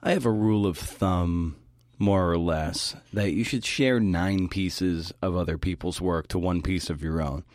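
Low-voiced man giving an informal talk. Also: measured pace, darkish tone, no room sound. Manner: informal, mildly profane. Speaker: podcast host giving a talk. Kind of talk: prepared talk to fellow writers.

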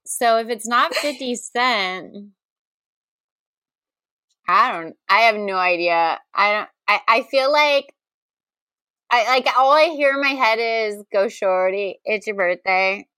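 A woman's voice: medium pitch, takes it slowly, pushed and bright, smooth, no echo. A woman co-hosting a comedy podcast in casual banter, exclamatory and incredulous, often repeating her words. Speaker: podcast co-host guessing song titles.

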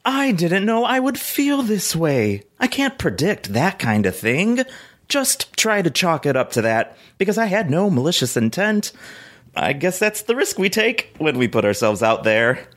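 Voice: mid-range voice, big pitch swings, a little slow, a bit bright. A performer playing a scorned, territorial ex-partner in a dramatic reading of breakup messages. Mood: resigned.

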